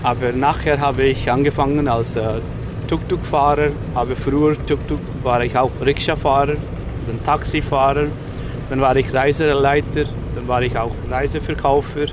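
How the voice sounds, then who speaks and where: A man in his forties from India, speaking halting with many fillers in a casual interview, outdoors on a city street. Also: mid-range voice, fairly even intonation, noisy background. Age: 40s. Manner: halting non-native German with frequent fillers. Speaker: interviewee, rickshaw driver.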